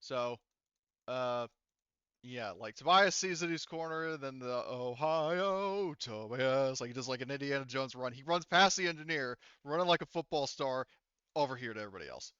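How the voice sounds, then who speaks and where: A man with a bright, crisp voice in a dry, close recording runs a tabletop roleplaying game as game master. He narrates the action casually, with animated storytelling. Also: mid-range voice, normal speed, no echo, dull audio.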